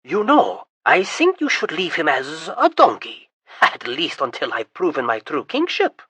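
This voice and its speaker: A reader voicing an excitable, vain young prince who stammers when nervous and gets shrill and loud when pleased. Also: unhurried, breathy edge, dry recording, full character voice. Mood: chatty.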